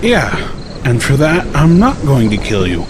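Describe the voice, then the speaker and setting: A man's voice: mid-range voice, wildly swooping pitch, unhurried, noisy background. A man voicing a cynical, mercenary male unicorn pony with a bored, snide drawl in an audiobook story. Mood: cold threat.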